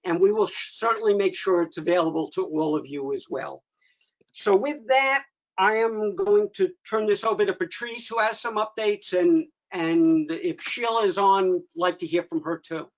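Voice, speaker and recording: A man's voice: high voice, normal speed. A man hosting an informal update meeting, conversational, with dryly humorous asides. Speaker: meeting host. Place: online video meeting. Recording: dull lo-fi video call audio.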